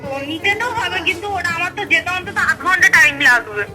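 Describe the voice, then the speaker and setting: A woman's voice: very high voice, lively intonation, quick pace. A woman in an everyday phone conversation as a customer, speaking matter-of-factly. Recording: phone call under loud music. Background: loud music.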